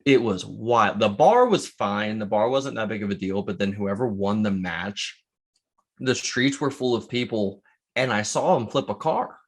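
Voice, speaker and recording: A man's voice: fairly low pitch, animated, a little slow. A man co-hosting a podcast in casual, conversational chat. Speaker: podcast co-host.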